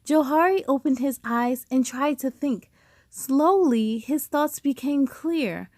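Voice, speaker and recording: High-voiced woman reading a children's story. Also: slow pace, dry recording, studio quality. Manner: animated storytelling with dramatic emphasis. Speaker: storybook reader. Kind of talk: expressive children's read-aloud narration.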